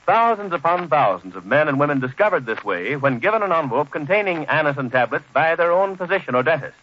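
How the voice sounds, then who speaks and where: A man's voice: a little high, very animated, quick pace. An American man announcing in old-time radio style, reading a script with emphatic, formal salesmanship. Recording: dull old radio recording.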